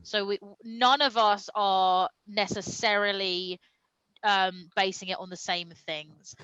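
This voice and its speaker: A woman's voice: medium pitch, steady tone, slow pace, a bit bright, smooth, no room sound, dull audio. A woman reading a comic story aloud with dramatic storytelling delivery and amused asides. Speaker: reader of comic fan fiction at a live stream show.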